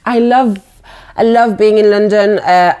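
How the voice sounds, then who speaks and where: A young woman speaks English with a French accent in a relaxed interview. She tells her stories with animation, slipping into mock voices for the people in them. Her voice sits in a middle pitch. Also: unhurried. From France. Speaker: guest, blogger. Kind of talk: casual interview chat.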